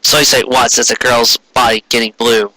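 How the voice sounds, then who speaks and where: A man's voice: mid-range voice, little pitch movement, normal speed, sharp tone, no room sound, poor audio. A man giving a dramatic comic reading of fan fiction, theatrical and stumbling over clumsy wording.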